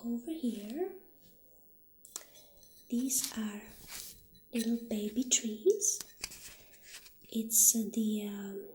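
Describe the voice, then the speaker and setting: Soft, whispery woman in slow ASMR roleplay. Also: a little high. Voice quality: soft, breathy, often whispery. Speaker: ASMR performer playing a witch shopkeeper. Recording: roomy echo, audible background noise.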